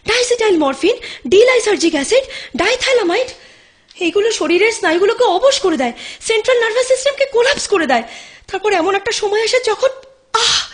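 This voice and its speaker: An actress as high-pitched, devoted, anguished woman. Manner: pleading, emphatic, theatrical delivery. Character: devoted, anguished, insistent woman nursing her addicted lover. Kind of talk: audio drama acting.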